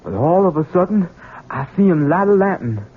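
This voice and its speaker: An actor playing a simple-minded, childlike grown son in an American radio drama, speaking in a halting, pleading rustic way. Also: male voice, fairly high pitch, big pitch swings, husky. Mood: anxious. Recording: tinny band-limited old radio broadcast.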